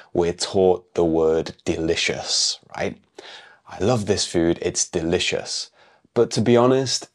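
Croaky deep-voiced man teaching. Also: a little mellow. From England. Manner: clear, explaining teacher's delivery. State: croaky voice from a cold. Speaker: English teacher and podcast host. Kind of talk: podcast English lesson.

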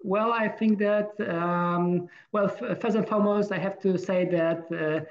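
Polish-accented man, middle-aged, gravelly, flat delivery, dull lo-fi sound. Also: high voice, a little slow. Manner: hesitant, many fillers and restarts. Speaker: guest. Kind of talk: casual interview.

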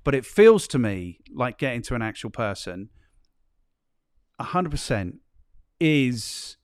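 A man's voice: mid-range voice, sing-song, measured pace, no room sound. Man chatting in a dry, close recording. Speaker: podcast host. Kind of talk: casual conversational interview talk.

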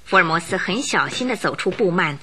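A woman's voice: fairly low pitch, lively intonation, brisk, sharp tone, slight background noise. A woman narrator telling a radio drama story from a script in a vivid, suspenseful, dramatic way.

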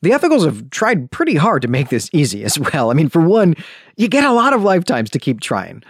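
A man's voice: fairly high pitch, wildly swooping pitch, fairly quick, husky, no echo, clean studio sound. A man hosting a podcast, talking through literary commentary like a conversational lecture, often rephrasing and qualifying his points. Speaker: podcast host.

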